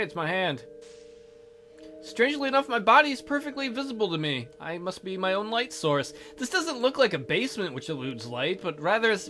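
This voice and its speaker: A man's voice: high voice, sharp tone. A man hosting a let's play, reading a visual novel aloud theatrically with goofy, crude joking commentary.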